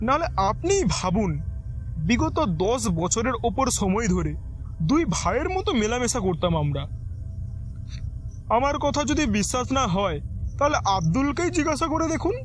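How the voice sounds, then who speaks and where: Agitated older man, strikingly high-pitched. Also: big pitch swings, unhurried, soft background music, full character voice. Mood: pleading, indignant. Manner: emphatic, protesting, oath-swearing. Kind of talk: audio drama acting.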